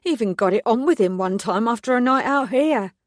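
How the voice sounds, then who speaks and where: A woman's voice: on the high side, expressive, brisk, no echo, studio quality, pitched above the performer's own voice, lightly acted. A woman voicing an effusive, chatty woman in her mid-forties who gushes and gossips. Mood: gossipy.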